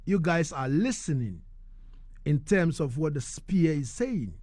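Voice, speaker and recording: Male radio host, Ghanaian accent. High, lively, emphatic, repeats himself into each question; dry studio sound. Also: dry recording. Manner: emphatic, halting repetitions as he builds questions. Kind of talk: live radio interview. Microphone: close studio microphone.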